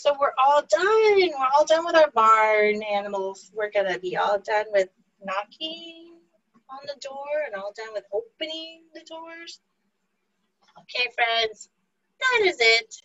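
A woman on a headset video call, speaking slowly and gently to young children as their speech teacher, in a coaxing sing-song way. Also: high-pitched. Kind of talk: slow, simple talk to young children. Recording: muffled video-call audio.

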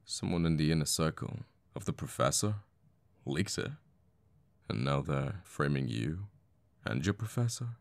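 An actor playing a conflicted, protective assassin boyfriend in an intimate ASMR audio roleplay, speaking tenderly and haltingly with pauses. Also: male voice, extremely low pitch, lively intonation, creaky, dry recording, heavily acted. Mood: alarmed, hurt.

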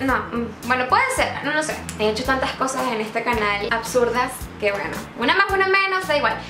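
A woman's voice: high voice, fairly quick, roomy sound, music in the background. A woman in her twenties with a Venezuelan accent, chatting playfully to camera in a vlog and mocking herself as she tries out Chilean slang. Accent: Venezuelan, putting on Chilean slang. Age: 20s. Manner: playful, self-mocking, laughing often, animated hand gestures. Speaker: YouTuber. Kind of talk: casual vlog answering questions.

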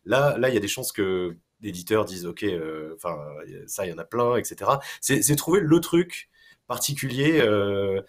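A middle-aged man with a fairly low voice talks casually on a video call panel. He is halting, often searching for words as he thinks aloud. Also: expressive. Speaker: panel guest.

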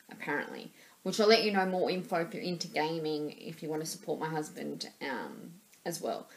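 An Australian woman in her thirties talks to her webcam from a small room, rambling and circling back with plenty of fillers. Her voice sits a little low and sounds stuffy and congested from a cold. A faint background hiss runs underneath. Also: low-quality recording. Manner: rambling, many fillers and repeats. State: stuffy nose, sore throat. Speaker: vlogger. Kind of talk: casual vlog chat. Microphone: webcam at desk level.

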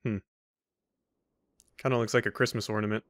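A man's voice: lowish voice, level tone, normal speed, fairly bright tone, no echo, studio quality. Wry man doing casual game commentary. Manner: wry, joking asides. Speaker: let's play host.

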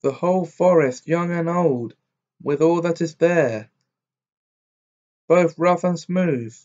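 A man's voice: a little high, slow pace, a little mellow. A man voicing a boastful, cheeky tailor in a storybook reading, with a cocky, bragging manner. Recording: dull lo-fi recording.

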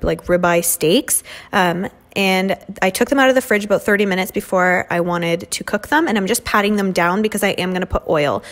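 Young woman, mid-pitched, relaxed chatty vlogger narrating her home cooking. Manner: chatty, many "um" and "like" fillers. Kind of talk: casual cooking voice-over. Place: home kitchen.

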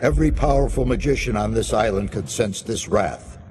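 Man acting a deep, brooding dark sorcerer. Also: background noise, natural voice. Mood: grave, urgent. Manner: slow, grave, portentous. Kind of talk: dramatic fantasy game narration. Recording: background music behind the voice.

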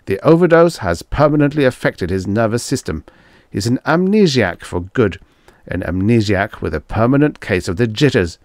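A man's voice: fairly low pitch, expressive, normal speed, a little mellow, no echo, studio quality, pitched above the performer's own voice, close to an everyday voice. Man doing a blustering, hot-tempered older doctor, raised voice. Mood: snappish. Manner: snapping, indignant.

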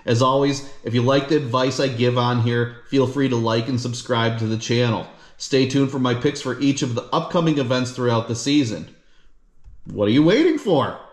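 A man's voice: medium pitch, normal speed. A man in his thirties hosting a fishing channel, reading an upbeat scripted announcement to camera from his living room. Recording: echoey room.

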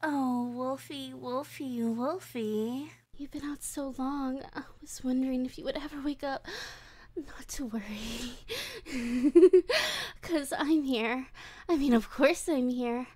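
A woman voicing an obsessive, lovesick demon woman who holds someone captive, whispering breathily close to the mic and stammering with excitement. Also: high-pitched, measured pace. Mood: giddy. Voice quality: breathy whispering, giggly and unhinged. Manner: stammering, repeating herself in excitement. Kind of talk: ASMR roleplay audio drama.

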